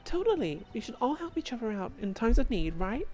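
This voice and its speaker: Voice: mid-range voice, sing-song, brisk, darkish tone, slight background noise, pitched above the performer's own voice, natural voice. A video game player voicing a bubbly young woman who works in a bakery, with an exasperated, bouncy, girlish manner. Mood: cheerful.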